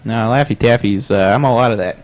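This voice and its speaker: A man's voice: mid-range voice, fast, natural voice. A man playing a brash, crude, bumbling uncle character who blusters and heckles in an improvised livestream comedy bit. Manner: blustering, muddled, interrupting, foul-mouthed. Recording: dull lo-fi stream audio.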